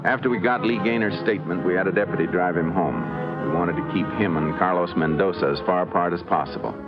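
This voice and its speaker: Deep-voiced calm lawman played by a man, old radio. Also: dull audio, loud music behind the voice, close to an everyday voice. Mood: narrating. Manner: measured, probing. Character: calm, methodical male lawman investigator. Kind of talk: 1950s radio acting. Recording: hissy vintage radio recording.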